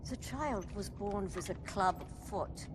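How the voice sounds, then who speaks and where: An actress playing a sombre, hardened resistance leader in a game, speaking in measured, grave, clipped phrases. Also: female voice, mid-range voice, background noise, lightly acted. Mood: sorrowful, grave.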